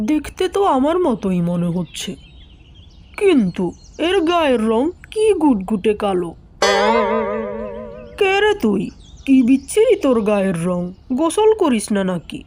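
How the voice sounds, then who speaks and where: A woman's voice: sits high, a little mellow, exaggerated voice. A woman voicing a vain, scornful crow in a children's cartoon, exclaiming and taunting. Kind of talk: children's cartoon story acting.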